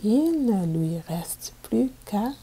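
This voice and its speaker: A woman reading a picture book aloud to children as a teacher, in a deliberate manner made for learners. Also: medium pitch, sing-song, background noise. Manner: deliberate, repeats sentences for learners.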